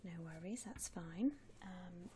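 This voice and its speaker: Female ASMR shop assistant role: breathy close-up whisper, very unhurried, gentle and polite, clear noise behind. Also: on the low side. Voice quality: breathy, mostly unvoiced whisper. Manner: gentle, hesitant, reassuring salesperson patter. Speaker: ASMR roleplayer playing a coffin shop saleswoman. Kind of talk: whispered ASMR customer-service roleplay.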